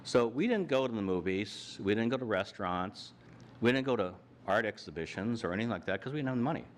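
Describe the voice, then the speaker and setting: A man's voice: lowish voice, lively intonation, fast talker, slight background noise. An older man giving a plainspoken personal talk on a panel, walking through his slides with frequent restarts. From Canada. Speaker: panel speaker. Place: hall with audience.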